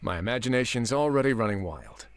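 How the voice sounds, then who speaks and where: A man's voice: mid-range voice, lively intonation, fast, smooth, natural voice. A man voicing a wry, self-assured colleague in a video game, dry and teasing.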